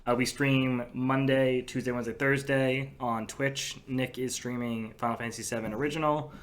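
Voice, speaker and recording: A man's voice: medium pitch, flat delivery, moderate pace. A young man with a stuffy nose and sore throat co-hosting a gaming podcast, upbeat as he plugs the show's schedule. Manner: upbeat, plugging the show. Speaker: podcast co-host. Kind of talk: casual gaming chat.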